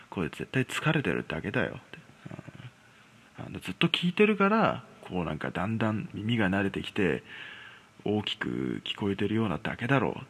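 A low-voiced man tells a ghost story as if chatting with friends. His delivery swings expressively up and down, with a creaky edge, and he hesitates conversationally as he feels his way through the tale. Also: a bit bright. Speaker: storyteller host. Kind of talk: casual spoken ghost story telling.

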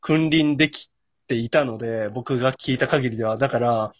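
A man's voice: medium pitch, normal speed, dry recording. A man chatting casually as a guest, giving his impressions, on a muffled lo-fi recording. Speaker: guest, amateur voice actor. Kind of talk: casual chat, giving impressions of a radio drama. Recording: dull, muffled lo-fi audio.

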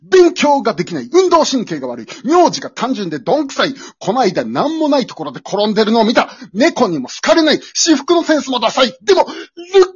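A pushy, fervent male teacher acted out by an amateur performer in a comic radio play. The voice is husky and leaps dramatically from murmurs to big cries. The sound is slightly dull and lo-fi. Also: mid-range voice, big pitch swings, brisk, hardly any pauses. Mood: insistent. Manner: melodramatic, impassioned, swinging from hushed to shouting. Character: passionate, pushy male high school teacher obsessed with visual-kei.

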